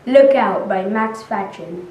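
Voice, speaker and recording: A woman's voice: medium pitch, slow pace, darkish tone, muffled recording. A school-age girl of about nine or ten performing a dramatic poetry recitation. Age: child, about 9 or 10. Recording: echoey room, distant mic, faint noise.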